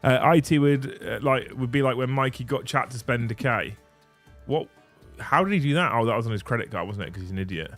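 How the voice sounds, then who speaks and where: A man in his thirties hosts a laid-back livestream, chatting with viewers in a joking, crude way. His voice sits at an ordinary middle pitch, and faint music plays under the talk. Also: brisk, fairly bright tone. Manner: banter, swears freely, laughs often. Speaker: livestream host. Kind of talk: casual livestream chat.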